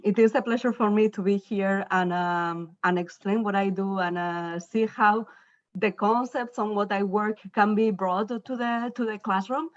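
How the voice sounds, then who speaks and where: A woman's voice: medium pitch, unhurried. Spanish woman presenting, slightly muffled audio. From northern Spain, Basque Country. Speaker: university professor, invited keynote speaker. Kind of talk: academic presentation.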